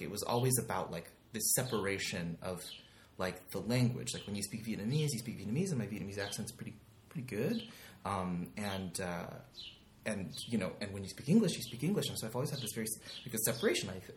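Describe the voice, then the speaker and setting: A man's voice: fairly low pitch, roomy sound, slight background noise. A man guesting on a podcast, chatting casually with many likes and ums, recorded in a living room. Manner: rambling, lots of likes and ums. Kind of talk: casual chat.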